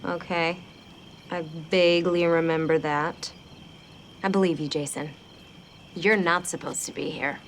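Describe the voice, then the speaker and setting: A woman's voice: fairly low pitch, fairly even intonation, unhurried, long pauses, a little hiss or hum. A woman in her thirties in a sitcom scene, talking bluntly and sarcastically. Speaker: sitcom character. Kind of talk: sitcom acting.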